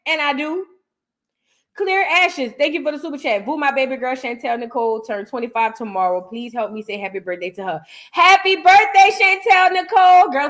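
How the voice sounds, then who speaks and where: A woman hosting a casual livestream, chatty and animated, reading out viewer messages and giving her opinion. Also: high voice. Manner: chatty, animated, reads out viewer super chats. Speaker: livestream host. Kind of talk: casual livestream reaction chat.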